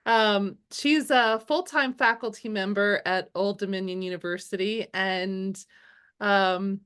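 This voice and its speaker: Woman host, slow and hesitant, lots of fillers. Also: mid-range voice, steady tone, fairly bright tone, clear, resonant tone. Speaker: host, clinical supervisor. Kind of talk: interview hosting.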